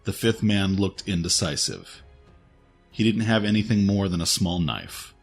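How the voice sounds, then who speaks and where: A man narrates a scripted work of fiction. His voice is very low and rough-edged with a husky grain. Faint music plays behind him. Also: very animated, unhurried.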